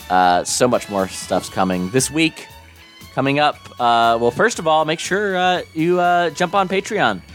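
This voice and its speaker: A young man hosting a live podcast, slightly hoarse, talking casually and upbeat with lots of fillers and restarts. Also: mid-range voice, fairly bright tone. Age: young adult. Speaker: podcast host.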